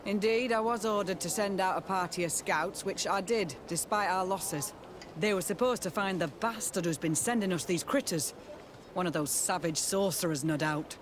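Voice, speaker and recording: Weary, high-pitched outpost captain acted by a man, noisy surroundings. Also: moderate pace, lightly acted. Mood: bitter, exasperated. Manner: blunt, sardonic. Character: weary, embittered male outpost captain, loyal to his men. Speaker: military captain character. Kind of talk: video game cutscene acting.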